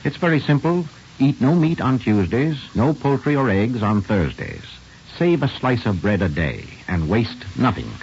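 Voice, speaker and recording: A man's voice: mid-range voice, sing-song, moderate pace, a little mellow, breathy edge. An American man hosting a radio program in a formal 1940s announcing style. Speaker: radio host. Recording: muffled vintage radio with faint music.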